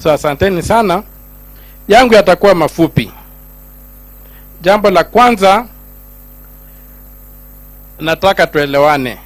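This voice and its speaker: A middle-aged Kenyan man addresses a gathering as a senator, his voice sitting high for a man. He builds his points in rising, emphatic lines and shifts between Swahili and English. The sound is dry and close, with a faint mains hum underneath. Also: animated, unhurried, long pauses, dry recording. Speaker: senator giving a speech. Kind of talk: public speech at a gathering.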